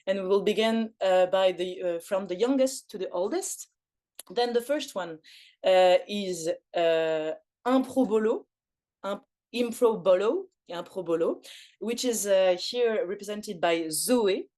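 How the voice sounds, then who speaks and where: A woman's voice: medium pitch, measured pace, a little mellow. A woman from Belgium, facilitating an online forum in English, with frequent fillers and restarts. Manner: hesitant, many fillers and restarts. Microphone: video call.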